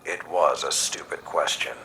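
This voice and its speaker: A man voicing a grizzled, stern old warlord commander in a video game, speaking gravely and with deliberate weight. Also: rumbling low, pushed and bright, slight background noise, full character voice. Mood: brooding, defensive. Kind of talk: dramatic video game voice acting. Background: dramatic music.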